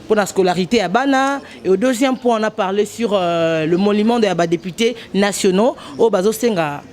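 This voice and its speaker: A woman journalist with a Congolese French accent, giving an emphatic, halting interview and switching between French and Lingala. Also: medium pitch, a little mellow. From DR Congo, Kongo Central. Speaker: journalist guest.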